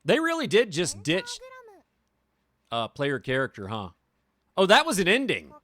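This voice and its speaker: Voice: mid-range voice, wildly swooping pitch, unhurried, a bit bright. A streamer playing a visual novel, chatting casually and reading game text aloud in a playful, joking way, often repeating phrases. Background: game music.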